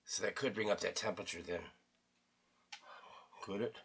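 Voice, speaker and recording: A man's voice: lowish voice, fairly even intonation, normal speed, vocal fry, a bit bright, husky. A man casually thinking aloud through a repair demonstration, mumbling to himself and trailing off mid-thought. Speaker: radio repairer hosting a repair video.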